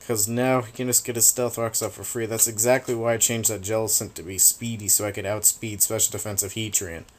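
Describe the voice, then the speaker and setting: Laid-back low-voiced man commenting on a game. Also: flat delivery, brisk, slight background noise. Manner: rambling, laid-back, swears casually. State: voice getting raspy from long talking. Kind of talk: casual gameplay commentary.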